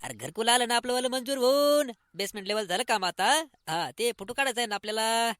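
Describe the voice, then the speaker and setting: High, bright, eager village man acted by a man. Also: animated, fairly quick, smooth, no room sound, clean studio sound. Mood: anxious, hurried. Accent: rural Maharashtrian. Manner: urgent, colloquial. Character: eager, hurried poor villager awaiting his housing grant. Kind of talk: comic cartoon dialogue.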